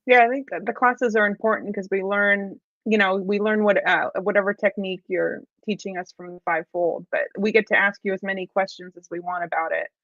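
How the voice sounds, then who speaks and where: A woman's voice: medium pitch, fairly even intonation, fairly quick, few pauses, a bit bright, no room sound. A woman co-hosting a live question session in casual conversation, recorded on a dull, slightly lo-fi microphone. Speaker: co-host reading out member questions. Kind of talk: casual chat.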